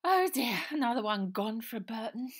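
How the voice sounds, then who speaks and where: A woman reading aloud and voicing a posh, plump, theatrical young girl in a high, gushing manner. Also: big pitch swings, normal speed, husky. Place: small room indoors.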